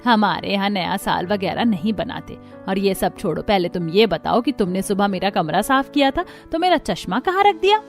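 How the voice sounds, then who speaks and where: A woman's voice: mid-range voice, lively intonation, normal speed, few pauses, lightly acted. A woman voicing a fussy older mother-in-law in a cartoon story, scolding and questioning in a nagging way. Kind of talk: Hindi cartoon story dialogue. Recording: music behind the voice.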